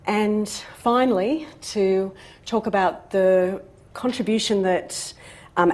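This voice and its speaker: A woman's voice: mid-range voice, a little mellow. Woman lecturing with frequent ums. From Australia. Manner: measured, many ums and uhs. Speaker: guest speaker, professor. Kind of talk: university seminar lecture. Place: lecture room.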